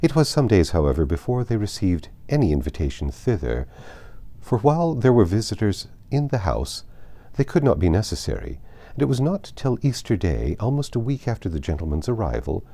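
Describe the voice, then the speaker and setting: Mellow, low-voiced man reading a classic novel. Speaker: audiobook narrator. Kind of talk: classic novel read aloud.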